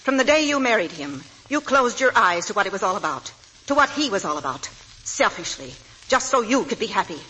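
A woman's voice: mid-range voice, big pitch swings, brisk, bright, forceful tone, low-quality recording. An actress playing a fiercely devoted, accusing middle-aged mother in 1940s radio melodrama style. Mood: bitterly accusing. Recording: vintage radio broadcast, faint hiss.

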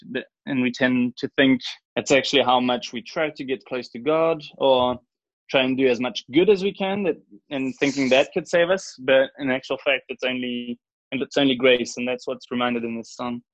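A man's voice: mid-range voice, steady tone, normal speed, no room sound, highs cut off. A young man from Switzerland with a New Zealand-influenced accent, chatting earnestly and casually as an interview guest. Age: young adult. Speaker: podcast guest, church worship singer.

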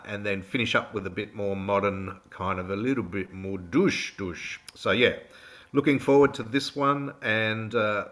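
A man with an Australian accent co-hosting a radio show in a studio, slightly croaky in a relaxed, rambling chat. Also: fairly low pitch. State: hoarse. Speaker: radio co-host.

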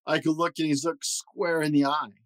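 A man's voice: fairly high pitch, level tone, normal speed, no room sound. A man hosting a read-aloud, telling a rhyming children's story in a theatrical, sing-song way. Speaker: host reading a children's book aloud.

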